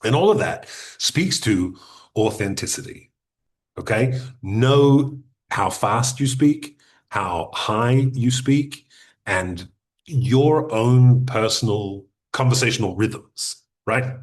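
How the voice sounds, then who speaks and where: A man at a mid-range pitch, coaching an audience on podcasting in an unhurried, conversational style. He speaks deliberately, lets pauses sit, and gives direct, practical advice. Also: expressive. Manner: deliberate, uses pauses and restarts. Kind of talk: conversational teaching talk.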